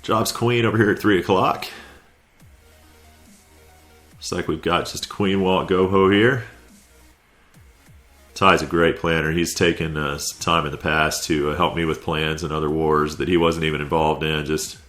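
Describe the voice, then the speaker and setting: Stuffy very deep-voiced game commentator. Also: male voice, steady tone, normal speed, long pauses, a bit bright, soft background music. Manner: laid-back, conversational, with light chuckles. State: stuffy from a head cold. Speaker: clan war recap commentator. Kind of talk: casual video game play-by-play voice-over.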